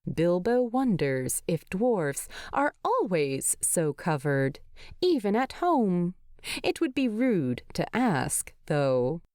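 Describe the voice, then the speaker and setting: A woman reading a story aloud as an expressive scripted narration. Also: on the low side, slow pace, no room sound, clean studio sound. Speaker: narrator of fan fiction.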